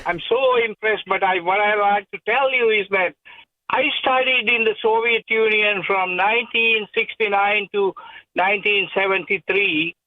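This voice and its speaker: A man's voice: sits high, normal speed, dry recording. An older man from Sri Lanka, calling in to a radio show, eager and effusive, stumbling over repeated words. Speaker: caller. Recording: tinny phone line.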